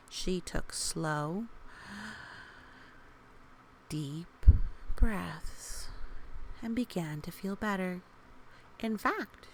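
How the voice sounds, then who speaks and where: A woman reading a children's story aloud in a gentle, encouraging way, with casual asides to young listeners and a creaky voice that often softens toward a whisper. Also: on the low side, rough recording. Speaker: storybook reader. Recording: faint background noise.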